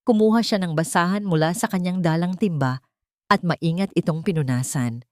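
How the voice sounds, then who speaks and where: A woman's voice: on the low side, normal speed, a little mellow, no echo, clean studio sound. A woman narrating a scripted dramatic story as an audio drama.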